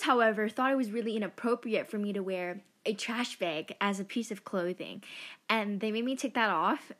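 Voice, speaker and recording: A teenage girl hosting her first podcast, chatting in a casual, self-mocking way and often giggling. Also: a little high. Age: teens. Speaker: podcast host. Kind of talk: casual chat.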